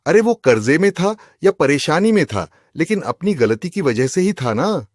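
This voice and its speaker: A man's voice: a little high, sing-song, moderate pace, dry recording, clean studio sound. A man voicing an accusing, suspicious husband in a cartoon, speaking with emphasis. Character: suspicious, aggrieved husband robbed of his chest. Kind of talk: Hindi cartoon voice acting.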